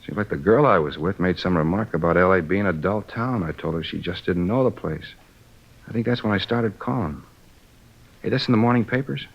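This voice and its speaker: Casting: rueful hungover male salesman, low voice, slangy and groggy, 1950s radio drama, dull lo-fi sound. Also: lively intonation, a little fast, slightly rough, heavily acted. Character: hungover man, sheepish salesman and war veteran under police questioning. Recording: dull lo-fi old radio recording.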